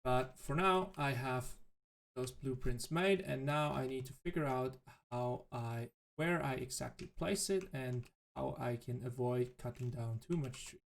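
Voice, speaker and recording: A man whose native language is German, thinking aloud in halting, self-correcting commentary as he plays a game. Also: mid-range voice, creaky. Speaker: let's play host. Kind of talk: casual gameplay commentary.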